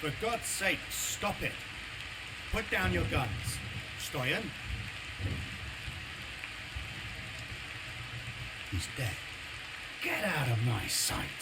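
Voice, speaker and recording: A man playing a calm, commanding resistance leader in a video game cutscene, firm and decisive in his delivery. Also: a little high, slow, very noisy, heavily acted. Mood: urgent, angry. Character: resolute male resistance leader, calm, commanding, weary of infighting.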